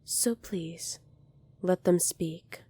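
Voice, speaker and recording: A woman playing a poised, kindly young alien princess in an ASMR roleplay, polite, formal and measured, soft-spoken with breathy moments. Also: on the low side, dry recording, exaggerated voice. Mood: stern, authoritative.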